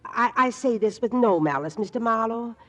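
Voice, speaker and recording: A woman's voice: fairly high pitch, animated, normal speed, pushed and bright. A woman playing a proud, refined elderly widow in 1940s radio drama, hesitant and dithering as she speaks. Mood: fluttery, apologetic. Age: older.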